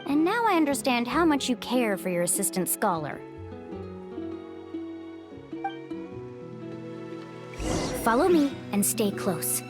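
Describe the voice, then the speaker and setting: Lively, high-pitched young guide. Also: female voice, normal speed, music in the background. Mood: friendly, upbeat. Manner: expressive, assured, explanatory. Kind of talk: anime-style video game voice acting.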